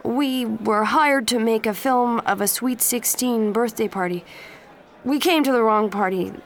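A woman's voice: on the high side, measured pace. Woman doing a nervous, apologetic teen boy.

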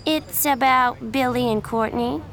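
A woman's voice: normal speed, vocal fry, slight background noise. A woman putting on a sugary, sly teenage gossip. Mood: sly sweet. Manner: sweet, needling, high and bright.

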